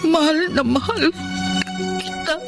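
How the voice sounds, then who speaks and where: A woman's voice: high voice, lively intonation, a little slow, vocal fry, loud music behind the voice, exaggerated voice. An actress playing a hurt, betrayed wife and mother in a Filipino melodrama, with an emotional, sighing delivery. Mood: anguished. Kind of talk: Filipino radio-style melodrama acting.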